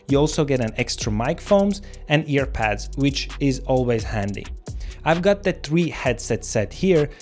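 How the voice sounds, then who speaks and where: A man's voice: a little high, darkish tone. A man hosting a product review, talking viewers through the details in a conversational, explanatory way. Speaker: gear reviewer and filmmaker, channel host. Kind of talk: casual scripted product review to camera. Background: background music.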